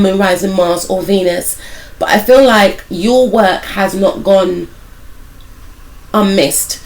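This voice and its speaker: A woman with a croaky voice, still recovering from a cold, giving a casual, rambling tarot reading and speaking straight to her viewers, with many hedges about what she feels. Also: medium pitch, poor audio. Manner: speaks directly to viewers as "some of you". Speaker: tarot reader and spiritual life coach.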